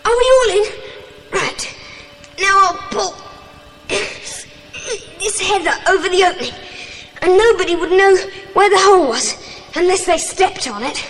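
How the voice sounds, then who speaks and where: A resourceful, bossy child in a children's adventure drama. The voice is very high and animated, giving orders urgently, in a reverberant, noisy recording. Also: female voice, a little slow, long pauses, heavily acted. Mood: hushed, commanding. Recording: noisy track with long hall reverb.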